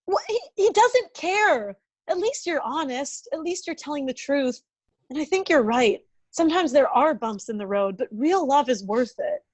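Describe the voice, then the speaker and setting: A woman's voice: high voice, lively intonation, normal speed, a little mellow. A woman playing an earnest, impulsive young romantic in a casual comedy script table read. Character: Maggie, earnest, impulsive woman chasing love.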